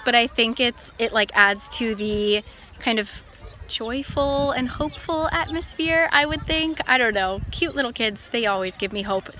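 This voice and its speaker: A woman's voice: fairly high pitch, sharp tone. A young woman chatting casually and informally to camera as a travel vlogger, recorded outdoors on a handheld phone. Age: young adult. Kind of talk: casual vlog chat to camera. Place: outdoors in an open park and parking lot. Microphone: phone at arm's length. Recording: outdoor noise, wind and traffic ambience.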